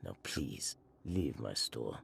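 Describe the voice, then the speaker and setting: An actor playing a guarded, courteous male shopkeeper in a video game, speaking in measured, formal, terse phrases. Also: rumbling low, animated, vocal fry, fairly bright tone, a little hiss or hum, exaggerated voice. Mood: guarded, stern. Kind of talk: video game character acting.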